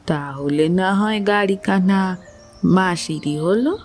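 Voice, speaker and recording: A woman playing a gentle, dignified widowed aunt of about forty in a Bengali audio drama, speaking in a motherly, softly teasing way. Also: lowish voice, takes it slowly, faint music behind. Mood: tender, curious.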